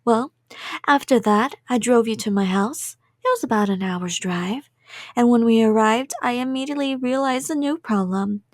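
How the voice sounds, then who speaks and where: A woman's voice: on the high side, moderate pace, no room sound, studio quality, natural voice. A woman playing a cheerful, delusional, obsessive kidnapper in a rambling ASMR roleplay, sweet and playful and drifting into whispers. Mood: breezy rambling.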